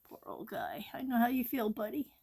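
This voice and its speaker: A woman's voice: on the high side, steady tone, moderate pace, creaky, darkish tone, slightly rough. A woman hosting an art channel, chatting casually while she sketches, a little congested from allergies and often dropping to a near-whisper.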